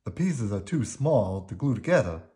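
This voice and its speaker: A man's voice: on the low side, big pitch swings, moderate pace, mellow. A sympathetic repairman, read by a man. He has an everyday, helpful adult manner in a children's storybook. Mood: helpful, sorry.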